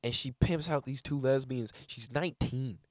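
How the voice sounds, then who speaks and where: A young man co-hosting a comedy podcast, talking in casual banter, laughing often and breaking into loud put-on voices. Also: mid-range voice, moderate pace, no room sound, clean studio sound.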